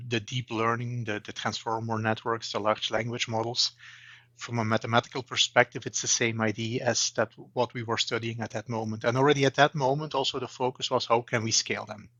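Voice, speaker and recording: A man's voice: mid-range voice, a bit bright, no room sound. Interview guest, man, forties, Belgian. Hesitant, many restarts, dull dry audio. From eastern Belgium. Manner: hesitant, repeats words, many fillers. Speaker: guest, banking AI expert. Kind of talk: interview answers.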